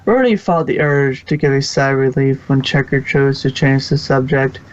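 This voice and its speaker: A man's voice: medium pitch, moderate pace. A man reading fan fiction aloud as a scripted story narrator, sometimes stumbling and rereading words. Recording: slightly muffled recording.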